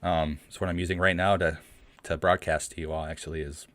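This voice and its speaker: Very deep-voiced man chatting on stream. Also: flat delivery, a little fast, fairly bright tone, dry recording. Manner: rambling, many ums and restarts. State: shaky voice from the cold. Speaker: streamer hosting a live Q&A. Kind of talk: casual livestream chat. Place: cold room at home. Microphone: home streaming mic.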